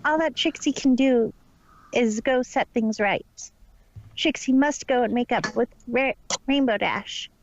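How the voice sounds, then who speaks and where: A woman's voice: on the high side, unhurried. A woman playing a boastful, theatrical unicorn magician who speaks of herself in the third person, in a fan story reading. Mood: dramatic resolve. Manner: haughty, theatrical, hammy. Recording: dull lo-fi home recording.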